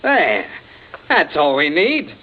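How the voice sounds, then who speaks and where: A man's voice: fairly high pitch, wildly swooping pitch, slow pace, heavily acted. An actor playing an eager, casually cruel henchman, breezy and taunting, in a vintage radio suspense play. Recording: tinny, hissy old radio broadcast.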